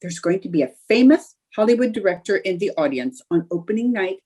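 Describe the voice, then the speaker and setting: A stubborn, stagestruck wife from an old-time radio comedy , acted by a woman. Her voice is breathy-rough and mellow, with very animated intonation. She is coaxing, indignant and theatrical, always scheming. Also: medium pitch, fairly quick, lightly acted. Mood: defiant. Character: Liz, scheming, stubborn, stagestruck 1940s housewife. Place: remote video-call production.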